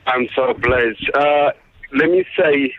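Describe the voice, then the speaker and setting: Zimbabwean man phoning a radio show, earnest and deliberate, muffled lo-fi line, no echo. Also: medium pitch, fairly even intonation. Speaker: phone-in caller. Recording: dull telephone line, dry.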